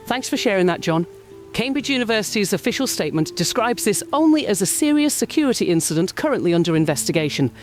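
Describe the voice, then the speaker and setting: Actress for field reporter, radio drama: serious, precise, controlled, medium pitch. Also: female voice, sing-song, quick pace, a little mellow, soft background music, close to an everyday voice. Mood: grave, controlled. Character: serious female British field news reporter. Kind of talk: scripted live news report.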